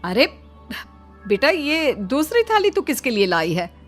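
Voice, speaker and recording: A woman's voice: high voice, big pitch swings, a little slow, fairly bright tone, soft background music, heavily acted. A woman voicing an arrogant, bossy middle-aged mother-in-law in a moral story, easily exasperated. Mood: startled, indignant.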